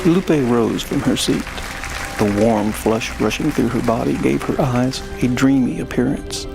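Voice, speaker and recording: Male narrator, creaky voice, theatrical sci-fi storytelling, deliberate phrasing, prominent music behind. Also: mid-range voice, a crowd in the background, low-quality recording. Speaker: host and narrator of a science fiction story show. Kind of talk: scripted dramatic narration.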